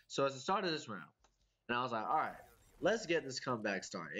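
A man's voice: mid-range voice, fairly bright tone. Congested young man ranting over gameplay. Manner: casual, profane, exasperated ranting. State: congested, sniffly from a cold. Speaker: gaming YouTuber. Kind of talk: gameplay commentary.

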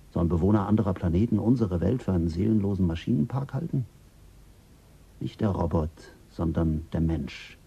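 Radio drama: reflective psychologist, deep, husky, earnest. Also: male voice, warm tone, full character voice. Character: calm male psychologist, reflective and principled. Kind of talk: 1970s German radio drama.

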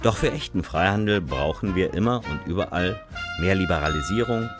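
Male actor as overselling cartoon fairy: deep, swooping, emphatic and smug, loud music underneath. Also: expressive, brisk. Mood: grandly promising. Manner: salesman-like, emphatic, theatrical. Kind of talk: scripted fairy-tale advocate in animated explainer. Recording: music behind the voice.

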